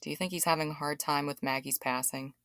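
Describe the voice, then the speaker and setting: A woman acting out a caring aunt in an audio drama, inquisitive and sympathetic. Her voice is low for a woman and recorded dry and close. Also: fairly even intonation, fast talker, vocal fry, a bit bright, no echo. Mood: concerned. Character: concerned, curious aunt.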